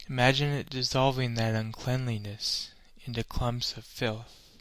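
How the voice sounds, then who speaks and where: Male meditation guide, solemn and instructional, slow scripted delivery, dry sound. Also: mid-range voice, little pitch movement, fairly bright tone, smooth, no echo.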